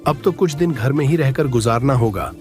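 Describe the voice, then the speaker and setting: A man's voice: medium pitch, a little fast, darkish tone, soft background music, lightly acted. A man voicing a grim, weary villager. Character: cold, weary male villager.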